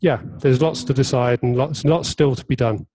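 A man's voice: mid-range voice, normal speed, dark tone, no echo. A man answering questions after a tech talk at a meetup, hesitant, with many restarts and fillers. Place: meetup talk venue indoors.

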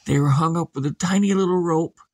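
A man with a husky voice narrates a scary bedtime tale. His telling is improvised, plain-spoken and folksy. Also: a little high, a little fast, a little mellow, dry recording, studio quality. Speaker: storyteller.

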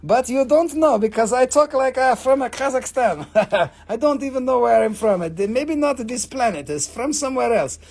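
A man hosting a live rock sale, joking, swearing and rambling in fast auction patter. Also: very high-pitched, animated, darkish tone. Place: mineral shop indoors.

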